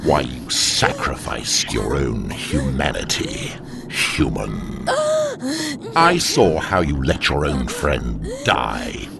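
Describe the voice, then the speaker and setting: A man playing an ancient alien demon in an audio drama, grave and condemning, speaking in slow, solemn, formal pronouncements. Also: rumbling low, huge pitch swings, creaky, over a noisy crowd, exaggerated voice. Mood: solemn reproach.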